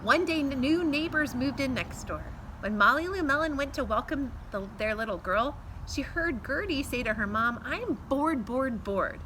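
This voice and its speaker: American woman, middle-aged, bright voice, expressive teacher-style read-aloud, drawn-out words, outdoor camera mic, faint noise. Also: sits high, rough recording. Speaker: teacher reading aloud to pupils. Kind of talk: children's picture-book read-aloud with asides. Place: outdoors in a backyard patio. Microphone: camera a few feet away.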